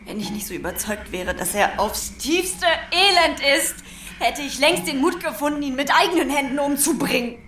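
An actress playing an anguished, watchful young woman in a radio drama, hushed and intense. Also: high voice, lively intonation, normal speed, background noise. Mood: bitter anguish. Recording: roomy, distant from mic, music behind.

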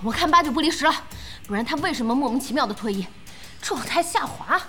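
An actress playing an excitable young female gaming fan in an audio drama, expressive and exclamatory. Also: sits high, fast talker. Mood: indignant. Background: background music.

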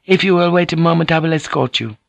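A man's voice: a little high, fast, vocal fry, no room sound, pitched above the performer's own voice. A man voicing a polite, dutiful young maidservant with a deferential, formal manner, in an audiobook.

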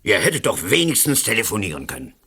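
A blustering, gravelly Swiss colonel. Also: male voice, fairly low pitch, huge pitch swings, fast, pushed and bright, heavily acted. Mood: curt, self-important. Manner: pompous, imperious. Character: blustering, pompous national councillor, colonel and lawyer. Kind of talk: radio play acting.

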